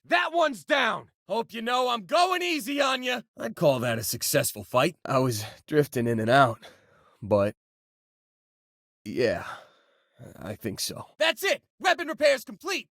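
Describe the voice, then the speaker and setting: A man's voice: a little high, a bit bright, dry recording, studio quality, heavily acted. An actor playing an easygoing, joking young male space miner in a video game, talking in casual, wisecracking slang. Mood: excited, combative, then mellow, joking. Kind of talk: video game voice lines, conversational.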